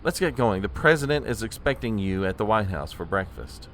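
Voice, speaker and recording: An actor playing a fussy, anxious, long-suffering presidential aide in a comedic audio drama. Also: male voice, on the low side, animated, fairly quick, a bit bright, a little hiss or hum. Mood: exasperated. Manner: fussy, deferential, hesitant.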